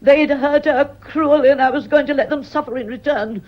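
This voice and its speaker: An actress playing a bitter, fiercely loyal housekeeper in a radio mystery, stiff and defensive. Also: female voice, high voice, normal speed, muffled recording, lightly acted. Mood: bitter, distraught. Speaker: actor in a radio play. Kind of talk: radio mystery drama acting.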